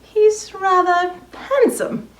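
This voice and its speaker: A woman's voice: extremely high pitch, very slow, roomy sound, exaggerated voice. A woman playing a prim, reserved, genteel lady librarian in a dramatized novel, speaking politely and properly. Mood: private musing.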